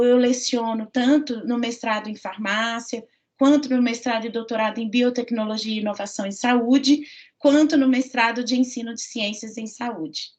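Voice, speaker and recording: Woman with a Minas Gerais accent lecturing. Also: fairly high pitch. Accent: Minas Gerais Portuguese. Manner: friendly, fillers and tag questions. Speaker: university professor. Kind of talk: online lecture. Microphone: computer mic on a video call. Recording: dull lo-fi video call audio.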